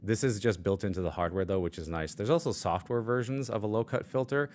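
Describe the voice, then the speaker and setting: A man with a low voice explaining microphone settings in a casual live demo, with lots of fillers, speaking into a studio mic at his desk. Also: fairly quick, darkish tone. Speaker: product demo presenter. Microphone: studio mic on shock mount and arm.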